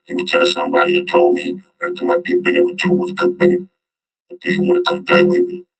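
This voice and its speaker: A man's voice: a little fast, lo-fi sound, faint music behind. A man giving off-the-cuff reaction commentary in an informal, slangy way, repeating himself for emphasis. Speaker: host commentator.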